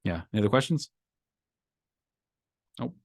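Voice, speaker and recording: Animated rabbi teaching a class, dry sound. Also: male voice, on the low side, normal speed, breathy edge, no room sound. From Brooklyn, USA. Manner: animated, repeats phrases, emphatic rising lines. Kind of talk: casual Torah class talk. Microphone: microphone in class.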